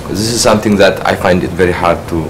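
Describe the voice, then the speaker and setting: A man from Eritrea giving a halting practice speech about himself, restarting often as he searches for words. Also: low voice. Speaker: speech trainee. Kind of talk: practice self-introduction speech. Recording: noisy room ambience.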